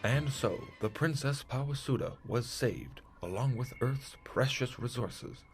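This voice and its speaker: A man's voice: medium pitch, a little slow, over music. A man delivering scripted narration for an adventure serial.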